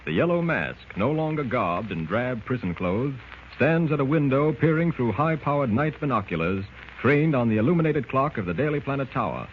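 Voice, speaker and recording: A man's voice: mid-range voice, expressive, normal speed. A man narrating a 1940s radio serial from a script, with dramatic, declamatory announcer delivery. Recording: thin, tinny old radio recording, noise.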